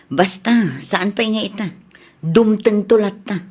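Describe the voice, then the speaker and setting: A woman's voice: mid-range voice, measured pace, darkish tone, lightly acted. A woman acting a suspicious, firm shop owner who probes and questions, in an audio comedy drama. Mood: reassuring, calculating. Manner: probing, firm.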